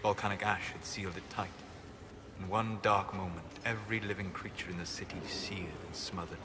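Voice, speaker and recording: An actor playing a calm, courteous, melancholy scholar mage in a video game, speaking in a measured, formal, thoughtful way. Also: male voice, sits low, heavy background noise, natural voice. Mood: soft, reflective.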